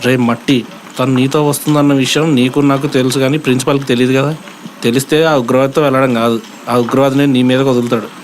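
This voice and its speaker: A man's voice: medium pitch, flat delivery, fast, a little hiss or hum, close to an everyday voice. An actor playing a sarcastic young college student who teases and mocks his friend in a comedy audio drama. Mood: teasing. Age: young adult. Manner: dry, mocking banter. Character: sarcastic, teasing young male college sidekick.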